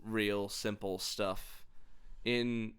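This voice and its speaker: A man's voice: on the low side, steady tone, drawn-out words, a bit bright, clear, resonant tone. A man co-hosting a podcast, talking casually about films. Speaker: podcast co-host. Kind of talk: casual film discussion.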